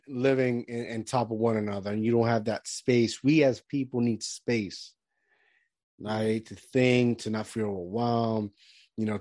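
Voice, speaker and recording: A man in his thirties who grew up in Puerto Rico talks in a loose, rambling way, stopping and restarting his sentences with lots of fillers. His voice sits at an ordinary pitch. It is recorded close and dry, with no room sound. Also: dark tone, no room sound. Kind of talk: casual chat.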